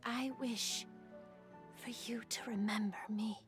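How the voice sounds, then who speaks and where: Gentle young woman, game drama. Soft, wistful, unhurried, medium pitch, light music underneath. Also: steady tone. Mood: wistful, tender. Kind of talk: video game voice acting.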